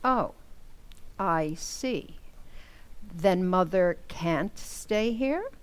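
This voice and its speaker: A woman's voice: on the low side, animated, extremely slow, smooth. An actress playing a patient but firm middle-aged housewife and mother in an old-time radio comedy, with pointed delivery. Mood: icy, firm.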